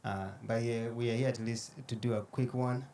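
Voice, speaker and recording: Man from Kenya, podcast host, sleepy, unhurried, filler-heavy, faint noise. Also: mid-range voice, flat delivery, warm tone. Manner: unhurried, many fillers and restarts. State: sleepy, tired late at night. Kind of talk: casual podcast conversation.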